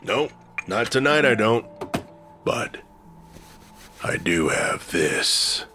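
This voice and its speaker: An actor playing a wry, deadpan bartender who hosts spooky tales in a comedy horror radio play. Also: male voice, fairly low pitch, very slow, slight background noise, exaggerated voice. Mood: affable, deadpan.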